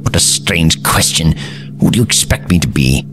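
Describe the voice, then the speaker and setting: A man's voice: extremely low pitch, normal speed, music in the background. A man playing a crotchety, absent-minded old alien time traveller in an audio drama, with pompous, fussy, old-fashioned phrasing. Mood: indignant, haughty. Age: older.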